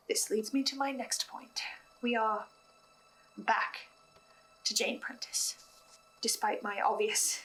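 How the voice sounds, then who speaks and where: Female commentator, higher register, musing and theatrical, deliberate pauses, faint music underneath. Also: fairly bright tone, low-quality recording. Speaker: host of a podcast reaction and theory video. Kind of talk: scripted analysis commentary.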